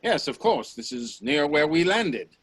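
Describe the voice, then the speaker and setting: Casting: male actor as obsessive Edwardian inventor, high-pitched husky voice, urgent and demanding, radio drama. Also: big pitch swings, measured pace, fairly bright tone, no echo, exaggerated voice. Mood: brisk, dismissive. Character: driven, hot-tempered male Edwardian inventor, stubborn and obsessive. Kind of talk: radio play acting.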